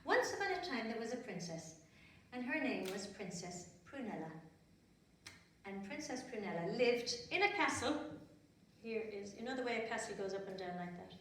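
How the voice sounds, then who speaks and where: Irish lady doing storytime for little kids, high voice, loads of room echo, bit far off the mic. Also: animated, normal speed, fairly bright tone, a little hiss or hum. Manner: simple, repetitive phrasing with questions to young listeners. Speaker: children's storyteller. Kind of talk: storytelling for small children, with asides while drawing. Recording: very echoey room, distant microphone.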